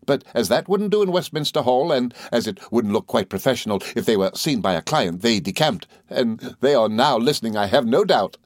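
A man voicing an exuberant, gushing, good-natured young gentleman in an audiobook, full of excited exclamations and repetitions. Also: medium pitch, brisk, hardly any pauses, no room sound, studio quality, natural voice. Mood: merry. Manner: effusive, exclaiming, repeats himself excitedly. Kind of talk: audiobook character voice.

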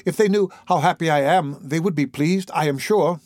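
A man's voice: on the high side, normal speed, a little mellow, no room sound, clean studio sound, voice pushed up, lightly acted. A man voicing an eager, grateful young orphan boy in an audiobook, speaking earnestly. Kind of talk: audiobook character voice.

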